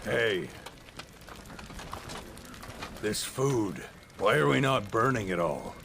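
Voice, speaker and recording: An actor playing a grim, blunt Viking warrior who narrates in a deep, gravelly voice for a game cutscene. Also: male voice, very animated, measured pace, noisy background, exaggerated voice. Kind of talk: video game cutscene acting. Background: loud score music.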